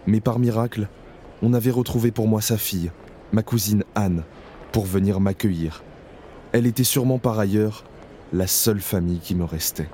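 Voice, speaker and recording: A man's voice: on the low side, normal speed, dark tone, a little hiss or hum, natural voice. A man playing a haunted, numb survivor. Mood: anxious. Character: haunted male camp survivor, numb and fearful. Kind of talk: first-person audio drama narration.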